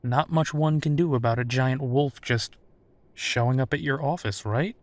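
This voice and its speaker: A man's voice: mid-range voice, moderate pace, no echo, lightly acted. A man playing a wry, foul-mouthed werewolf private investigator in a roleplay audio drama, talking casually. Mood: wry, teasing.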